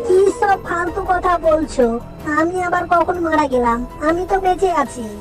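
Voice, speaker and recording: A woman's voice: very high voice, over music, rough recording. A woman voicing an anxious young village boy. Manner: earnest, anxious, quick questions. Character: poor young village boy, worried and brave.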